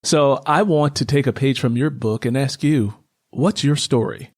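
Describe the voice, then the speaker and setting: A man's voice: medium pitch, moderate pace, a little mellow, no room sound, clean studio sound. A man hosting a podcast and interviewing a guest, who also works as a book narrator. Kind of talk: scripted show intro and interview.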